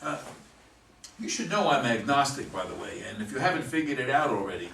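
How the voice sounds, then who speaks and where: A man's voice: medium pitch, sing-song, a little fast, fairly bright tone, roomy sound. A jittery, sarcastic drunk who needs detox played by a man in a stage reading. He is hesitant and exasperated, with a swooping delivery, caught by a distant mic with faint noise. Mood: rattled.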